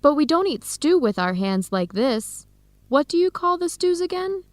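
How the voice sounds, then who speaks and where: A woman playing a friendly young American researcher in a radio drama, with an American accent and a lively, expressive manner. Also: sits high, normal speed, no echo. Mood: warm, chatty. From United States.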